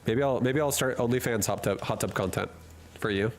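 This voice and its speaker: A man's voice: medium pitch, fairly quick. A man streaming a game from a hot room at home, chatting casually and rambling through jokes while he reads chat and laughs often.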